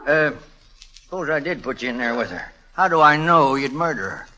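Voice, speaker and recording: An actor playing a crusty old small-town judge with a rural American drawl, in 1940s radio style. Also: male voice, mid-range voice, expressive, a little fast, pushed and bright, rough recording. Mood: folksy, wry. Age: older.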